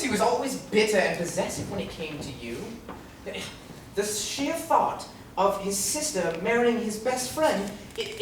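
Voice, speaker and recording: Woman playing a melodramatic scheming lover, echoey room. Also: a little high. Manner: emphatic, melodramatic. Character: scheming, melodramatic secret lover in a murder-mystery farce. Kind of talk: theatrical stage reading. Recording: noisy echoey room, distant mic.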